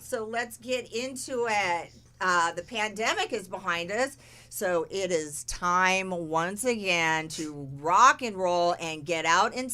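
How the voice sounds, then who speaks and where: A woman's voice: medium pitch, pushed and bright. A woman hosting a live podcast, introducing guests with bubbly enthusiasm in casual chat. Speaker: podcast host.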